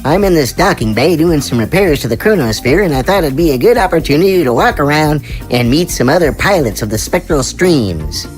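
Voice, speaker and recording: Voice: medium pitch, animated, brisk, hardly any pauses, soft background music, lightly acted. An actor voicing a chatty, wisecracking non-human space crew member in a scripted audio drama skit, with cheerful, folksy patter. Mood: chatty, breezy.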